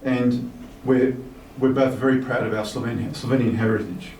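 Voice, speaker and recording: Aussie guy in his 50s giving a speech, mid pitch, relaxed, reverby hall from far off. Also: normal speed. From Australia. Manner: relaxed, with um fillers. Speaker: guest speaker reading a minister's message. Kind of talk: formal speech mixed with personal remarks. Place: large hotel reception hall. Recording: echoey hall from far off with faint noise.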